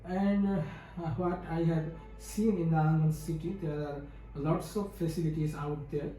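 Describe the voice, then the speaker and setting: A young man with a Bangladeshi English accent answers the examiner haltingly and fills his pauses with "uh". His voice is pitched fairly high but sounds mellow. He is picked up from well away from the mic in a very echoey room, with faint ambience behind him. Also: fairly even intonation, soft background music. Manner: hesitant, many "uh" fillers, halting grammar. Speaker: test candidate.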